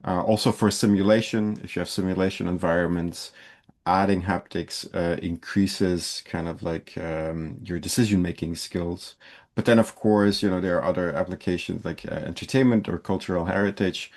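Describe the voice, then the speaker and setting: A man from Belgium delivers a lecture in a fairly low voice. He keeps it conversational, with plenty of fillers and restarts. He sounds like a young adult talking through his work. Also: a little mellow. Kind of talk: university lecture.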